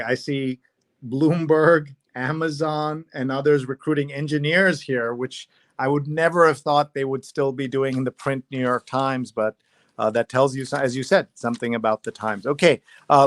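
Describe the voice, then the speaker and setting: A man hosts a casual newspaper review show, thinking aloud as he goes. His voice sits at an ordinary male pitch, and the sound is dry with very little room on it. He moves between chatty remarks and reading aloud. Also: steady tone, normal speed, dry recording.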